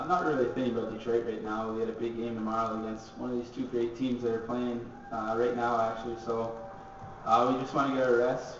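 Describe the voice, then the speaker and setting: A man's voice: medium pitch, background noise. A young man, a college hockey player, answering reporters at a press conference. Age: young adult. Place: large echoey press room. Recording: echoey, distant, dull.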